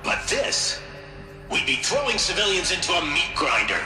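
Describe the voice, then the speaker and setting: A man's voice: on the high side, very animated, normal speed, full character voice. A man with an American accent playing an indignant military general in a game, exasperated and protesting. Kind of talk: video game cutscene voice acting. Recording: echoey room, loud music behind.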